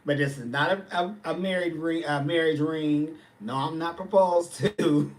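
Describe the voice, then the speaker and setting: A man's voice: on the high side, normal speed. Sassy man in his forties, chatting live. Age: 40s. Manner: sassy, chatty, reads and answers comments. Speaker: livestream host. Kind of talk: casual livestream chat.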